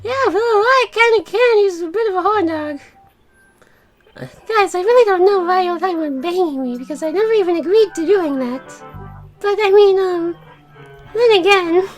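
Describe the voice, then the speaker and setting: A woman voicing a cheeky, flustered cartoon girl with a foul mouth, hesitant and rambling, in an improvised parody dub. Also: very high voice. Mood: awkward, casual.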